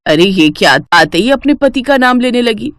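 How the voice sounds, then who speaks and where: A woman voicing an older, traditional village mother-in-law in a cartoon, bossy and skeptical, with a slow homely scolding manner. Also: fairly high pitch, expressive, no echo, clean studio sound. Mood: scolding, instructing. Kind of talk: Hindi moral-story cartoon acting.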